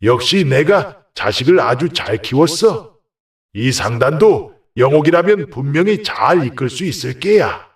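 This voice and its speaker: Proud merchant father, fairly high male voice acted. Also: animated, a little slow, slightly rough, no room sound, clean studio sound. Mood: proud, delighted. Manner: old-fashioned, authoritative paternal speech. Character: shrewd, proud merchant father in an old Korean folktale. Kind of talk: acted folktale dialogue.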